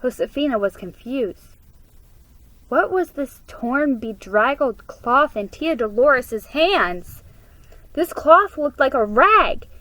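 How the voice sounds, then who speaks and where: A woman giving a dramatic, expressive reading of a children's story, with a slightly halting delivery. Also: sits high, no room sound, rough recording. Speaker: amateur story reader. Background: music under the reading.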